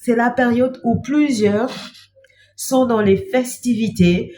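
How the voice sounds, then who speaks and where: Slow-speaking woman with a cold. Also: on the high side, slight background noise. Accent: French. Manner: slow, gentle, repeats "bien-aimé" and pauses with "euh". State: has a cold. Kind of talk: devotional talk to camera.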